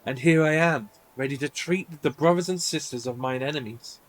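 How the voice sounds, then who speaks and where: A man's voice: fairly high pitch, close to an everyday voice. Man voicing a solemn, dutiful female knight commander. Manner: formal, solemn, measured.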